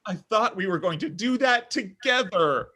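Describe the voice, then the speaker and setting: Comedy table read: male actor as petulant, self-absorbed young guy, slightly high voice, big melodramatic swings. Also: animated, moderate pace, sharp tone, exaggerated voice. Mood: indignant, hurt. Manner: melodramatic, whiny pleading. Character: self-absorbed, needy, petulant young man, son and jilted boyfriend.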